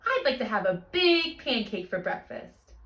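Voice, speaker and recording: A woman voicing an eager, excited young boy in a storybook, exclaiming emphatically, in a roomy recording. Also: fairly high pitch, measured pace. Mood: eager asking.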